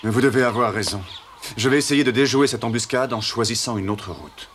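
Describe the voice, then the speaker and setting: A man playing a calm, confident male explorer and ship's admiral in a cartoon, speaking in a measured, assured way. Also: mid-range voice, noisy background, lightly acted. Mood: composed, courteous. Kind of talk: French animated history series dubbing, partly narrated. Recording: music behind.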